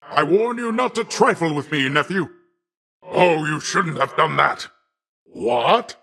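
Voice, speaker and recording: An actor playing a boastful, jovial middle-aged sea god in a video game, a patronizing uncle with theatrical, old-fashioned flowery phrasing. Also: male voice, fairly high pitch, lively intonation, slow, creaky, fairly bright tone, full character voice. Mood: offended, warning.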